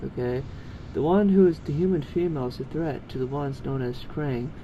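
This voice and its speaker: A man's voice: medium pitch, normal speed, lo-fi sound, a little hiss or hum. A man reading a story aloud in an amateur style, with casual asides. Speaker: co-reader of fan fiction.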